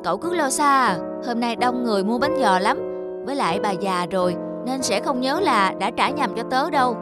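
A young cartoon schoolboy acted by a woman speaks in a high, boyish voice. He is expressive, mischievous and quick to get flustered. Music plays behind him. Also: close to an everyday voice. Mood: defensive. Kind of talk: children's cartoon dialogue.

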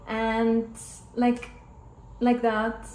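A woman's voice: a little high, monotone, drawn-out words, darkish tone. A woman in her twenties from Greece, a native Greek speaker with a Greek accent, telling a casual personal story to camera as a vlogger. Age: 20s. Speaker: vlogger and former flight attendant. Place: hard-floored living and dining room at home. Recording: roomy echo with clear background noise.

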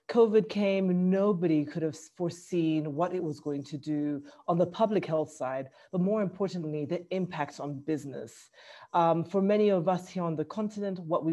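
A woman's voice: on the low side, mellow. A middle-aged woman with a Zambian accent giving conversational panel remarks over a video call from a small office. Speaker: small business owner, webinar panelist. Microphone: headset on a video call.

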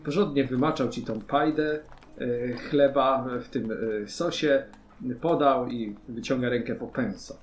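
A man working as game master, improvising descriptive narration for a tabletop fantasy role-playing game in halting phrases. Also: medium pitch. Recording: roomy, faint background noise.

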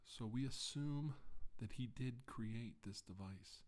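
A middle-aged man playing a solemn doctor giving testimony about his alien abduction in an ASMR roleplay, halting and deliberate with long pauses. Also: medium pitch, darkish tone, lightly acted. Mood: grave, measured. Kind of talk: ASMR roleplay, slow deposition monologue. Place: indoor room, seated at a table. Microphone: microphone on the table close in front.

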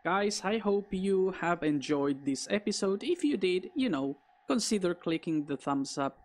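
A woman's voice: lowish voice, animated, a little slow, darkish tone. A woman hosting a gaming video, giving commentary and reading dialogue aloud.

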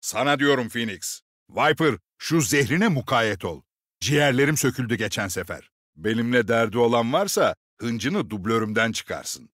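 Game role: gruff male squad leader, seasoned and commanding, very animated delivery, close dry recording. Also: medium pitch, dry recording. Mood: dry, teasing. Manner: authoritative, clipped commands with dry swagger. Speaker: game character voice actor. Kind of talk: video game voice lines, short military barks.

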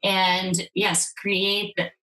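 A woman's voice: medium pitch, level tone, extremely slow, sharp tone, rough recording. A woman in her forties, raised in Ukraine with Russian as her first language, speaking thoughtfully with restarts on a video call from home. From Ukraine, then Soviet Union. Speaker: guest, sex and intimacy coach. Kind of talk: candid interview. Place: home room, indoors.

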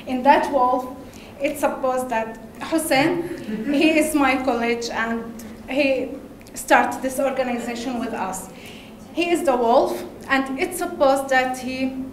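A woman from Lebanon with an Arabic accent, giving a conference talk in halting English with hesitations and laughs. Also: high-pitched, a little hiss or hum. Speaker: conference speaker. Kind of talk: presentation talk. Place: large darkened conference hall. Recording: echoey hall, faint ambience.

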